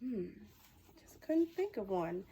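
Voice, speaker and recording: A woman's voice: mid-range voice, big pitch swings, slow pace, warm tone, husky. A woman hosting a children's storytime, reading a picture book expressively with playful, exclamatory asides. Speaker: storytime host.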